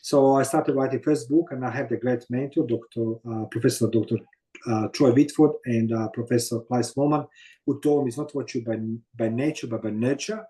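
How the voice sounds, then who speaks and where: A man in his fifties from Croatia with a Croatian accent, telling his story as an interview guest in halting non-native English full of fillers. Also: medium pitch, fairly even intonation, mellow, rough recording. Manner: halting, many fillers and "you know what I mean".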